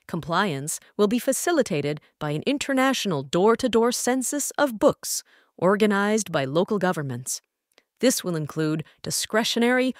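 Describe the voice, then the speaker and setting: A woman's voice: medium pitch, no room sound, clean studio sound, natural voice. A woman playing an earnest female news anchor, reading a formal scripted bulletin in an audio drama.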